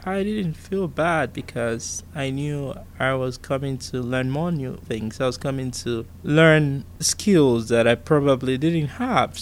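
A man's voice: mid-range voice, a little hiss or hum. Earnest Nigerian-born man in his thirties. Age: 30s. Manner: earnest, reflective, repeats himself for emphasis. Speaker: interviewee. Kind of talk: recorded personal interview.

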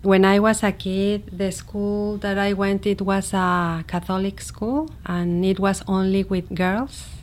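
A middle-aged woman from Mexico City with a Mexican accent, a native Spanish speaker telling her personal story in simple, halting learned English. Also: mid-range voice, little pitch movement, a little hiss or hum. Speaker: interviewee, immigrant teacher. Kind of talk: personal story told in an interview.

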